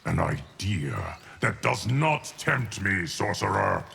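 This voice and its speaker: An actor playing a solemn, immortal guardian of time in a video game, speaking in a formal, stern, measured way. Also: male voice, deep voice, big pitch swings, vocal fry, bright, forceful tone, slight background noise, exaggerated voice. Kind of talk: video game character dialogue.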